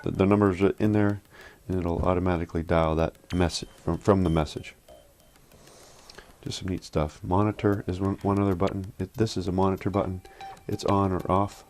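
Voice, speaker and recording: A man demonstrating an office product in a casual, halting, think-aloud way, like a hands-on walkthrough. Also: low-pitched, level tone, a little mellow, a little hiss or hum. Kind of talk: casual hands-on phone system demo.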